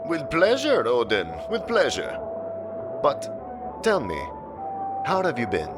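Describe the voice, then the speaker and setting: A man playing a hearty, well-travelled warrior god in an audio drama, jovial and booming with old-fashioned wording. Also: huge pitch swings, takes it slowly, over loud music, heavily acted.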